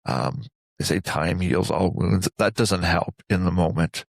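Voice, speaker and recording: A man hosting a reflective interview, speaking earnestly and deliberately in short phrases with pauses between them. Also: low voice, vocal fry, no echo, studio quality. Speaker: interview host.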